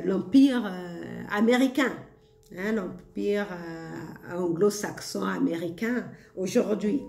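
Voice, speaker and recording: A woman's voice: medium pitch, gravelly, a little mellow, reverberant. A woman vlogger talking casually and reflectively to her viewers, hesitant with many fillers and frequent laughs. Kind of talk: casual spiritual monologue.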